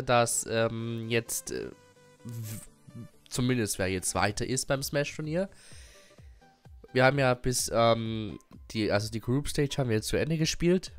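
A man's voice: medium pitch, clear, resonant tone. Young man with a cold, rambling update. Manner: rambling, many fillers and restarts, frequent chuckles. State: has a cold. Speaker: gaming YouTuber and streamer. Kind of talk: casual channel update.

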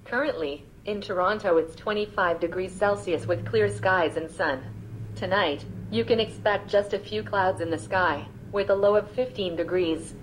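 A woman's voice: mid-range voice, moderate pace, slight background noise, poor audio. A text-to-speech female assistant voice answering questions politely, played from a small smart speaker in a room. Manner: even, polite, machine-read delivery. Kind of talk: synthetic assistant replies. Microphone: small speaker played back in a room.

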